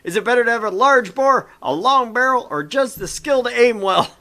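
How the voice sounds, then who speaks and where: Someone doing a cheeky, bright-voiced young army recruit. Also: mid-range voice, normal speed, close to an everyday voice. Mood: mock innocent.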